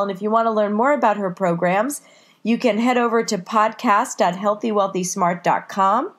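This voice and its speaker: A woman hosting a podcast, stuffed up with a cold, chatty and upbeat as she introduces the episode. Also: mid-range voice. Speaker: podcast host. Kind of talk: casual podcast intro and interview.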